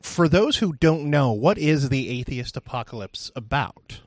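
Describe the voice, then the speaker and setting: A man's voice: medium pitch, lively intonation, unhurried, no room sound, studio quality. A man co-hosting a podcast, telling jokey anecdotes casually and acting out the quotes as he goes. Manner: wisecracking, acts out quotes. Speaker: podcast co-host.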